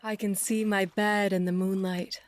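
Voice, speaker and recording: An actress playing a brooding, sardonic woman aboard a ship, speaking in a dry, deliberate, musing way in an audio play. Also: mid-range voice, level tone, lightly acted. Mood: wry, quiet. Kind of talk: theatrical audio play acting.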